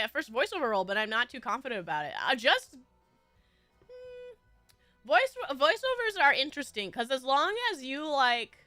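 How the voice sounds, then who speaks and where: Stuffy-nosed woman streamer, high and lively, giggly rambling chat. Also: clean tone, no echo. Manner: bubbly, rambling, frequent giggles. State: stuffy nose. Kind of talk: casual chat with viewers.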